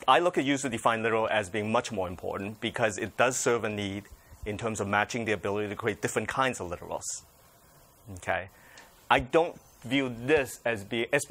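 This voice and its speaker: A man presenting at a technical conference, answering audience questions in a measured, conciliatory way. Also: mid-range voice, fairly bright tone. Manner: laughs easily, measured, conciliatory. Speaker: conference speaker.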